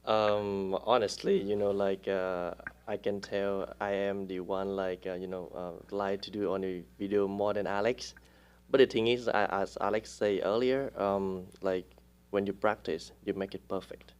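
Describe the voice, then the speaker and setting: A man talks in a relaxed interview with a somewhat low voice. He speaks haltingly, with frequent pauses and fillers while he hunts for the right words. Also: clear, resonant tone. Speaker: real estate agent, guest. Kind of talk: casual interview talk.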